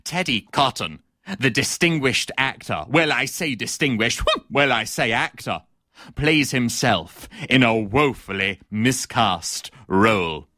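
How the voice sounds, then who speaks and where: A man's voice: medium pitch, animated, slow pace, pushed and bright, no echo, studio quality, exaggerated voice. A man playing a haughty, embittered female theatre critic in a pompous, melodramatic comic stage soliloquy. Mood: withering, haughty. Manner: pompous, precisely worded, melodramatic. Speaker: actor performing a comic monologue.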